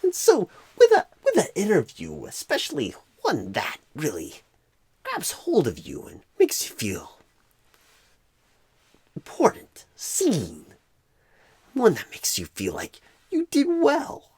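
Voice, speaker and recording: A man voicing a clueless, rambling job recruiter in an ASMR roleplay, with a breathy, husky voice and halting phrasing full of odd pauses and stresses. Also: high voice, wildly swooping pitch, darkish tone, no room sound, full character voice. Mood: probing, rambling.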